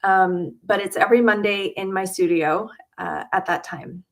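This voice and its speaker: A woman's voice: medium pitch, moderate pace. A middle-aged woman from Utah hosting a casual livestream from her home studio, chatty and full of ums and uhs. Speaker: quilting channel host.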